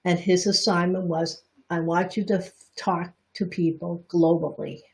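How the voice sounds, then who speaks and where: A middle-aged woman from Boston with a Chinese Bostonian accent, talking through a reflexology lesson on a livestream. Her voice sits a little low, and her delivery is chatty and candid, with frequent restarts and self-deprecating asides. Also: little pitch movement, a little slow, darkish tone. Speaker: reflexology teacher. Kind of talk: livestream teaching talk.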